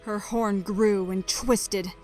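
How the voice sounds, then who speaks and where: Slow-paced woman narrator over music. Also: mid-range voice, fairly even intonation, darkish tone. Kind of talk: scripted dramatic story narration.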